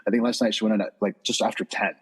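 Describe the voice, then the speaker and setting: A man chatting as a podcast guest, telling stories in an animated way and acting out quotes. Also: on the low side, racing, husky. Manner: chatty, animated storytelling with laughs and quoted asides. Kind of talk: casual podcast chat.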